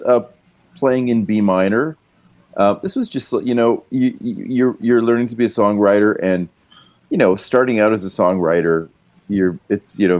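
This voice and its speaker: Deep-voiced laid-back storyteller man. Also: a little mellow, no echo, dull audio. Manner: rambling storyteller, laid-back, swears casually. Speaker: guest musician and writer. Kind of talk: casual podcast interview. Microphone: remote call mic.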